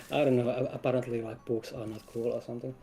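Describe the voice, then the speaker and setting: A man's voice: medium pitch, level tone, brisk, mellow. A man speaking casually and a little hesitantly as a game developer guest in an interview. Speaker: game developer, lead designer, stream guest.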